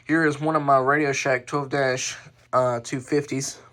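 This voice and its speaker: A man presenting his hobby collection in a casual, unscripted way, halting and often correcting himself as he goes. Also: mid-range voice, flat delivery, fairly bright tone. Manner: halting, offhand, self-correcting. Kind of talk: casual unscripted show-and-tell.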